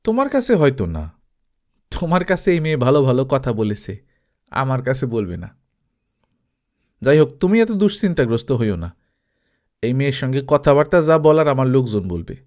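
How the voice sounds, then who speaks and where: Storybook villain: rich, shady male village trader acted by a male reader, mid-pitched, animated intonation, brazen and domineering. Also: a little fast, long pauses, close to an everyday voice. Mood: brazen, dismissive. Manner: colloquial, self-justifying, rhetorical questions.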